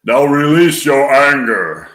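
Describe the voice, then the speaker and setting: A man voicing a sinister dark lord from a film, slow and menacing. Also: mid-range voice, lively intonation, pitched above the performer's own voice. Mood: menacing, commanding. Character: sinister dark lord goading his foe. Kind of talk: movie villain line reading. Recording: roomy, echoing space.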